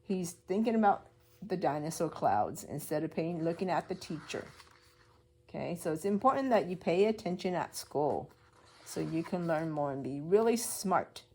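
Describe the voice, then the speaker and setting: A woman's voice: fairly low pitch, expressive, normal speed, mellow, slightly rough. A woman preschool teacher reading a story aloud to young children, explaining as she goes and asking simple questions.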